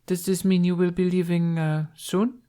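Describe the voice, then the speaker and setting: A man plays a regal, cordial foreign empress, pitched well up. She phrases things formally and haltingly, as if in a second language, always composed, in storybook style. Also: level tone, dark tone, clean tone, natural voice. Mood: cordial, probing.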